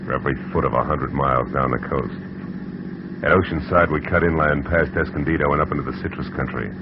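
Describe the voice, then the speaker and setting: A man's voice: rumbling low, fairly even intonation, fast. A man playing a hard-boiled private detective who narrates in a terse, wry way in an old radio drama. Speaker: narrator and lead. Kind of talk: 1940s radio acting. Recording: muffled old radio recording with loud hiss.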